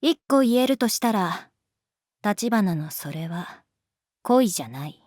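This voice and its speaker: An actress playing a calm, guarded young schoolteacher in a Japanese audio drama, speaking softly and frankly. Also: female voice, mid-range voice, dry recording, studio quality. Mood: guarded, dismissive. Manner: unhurried, soft-spoken, frank. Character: adult female homeroom teacher, calm, guarded, gently detached. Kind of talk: Japanese anime-style audio drama acting.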